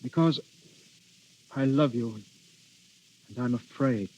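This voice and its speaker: An actor playing an anxious, lovesick young suitor, earnest and pleading, in a 1930s radio drama. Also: male voice, medium pitch, slow pace, a little mellow, natural voice. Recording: muffled vintage 1930s radio recording.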